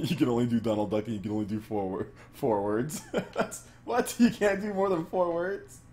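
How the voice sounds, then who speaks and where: A man's voice: mid-range voice, animated, normal speed, a little mellow. A man hosting a live game stream, chatting casually and laughing often. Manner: laid-back, laughs often, talks to chat.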